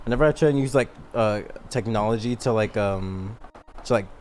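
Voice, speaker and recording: A man's voice: on the low side, a little fast, a little mellow, a little hiss or hum. A young man chatting casually and slangily as a podcast co-host.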